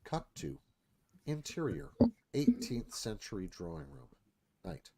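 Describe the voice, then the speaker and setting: A man's voice: low-pitched, big pitch swings, a little slow, background noise. A man narrating a screenplay, reading out scene headings and stage directions.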